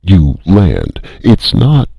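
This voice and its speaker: A man's voice: deep voice, huge pitch swings, drawn-out words, creaky, mellow, breathy edge, no room sound, clean studio sound, pitched above the performer's own voice, exaggerated voice. A man voicing a male spaceport controller who speaks curtly and strictly professionally.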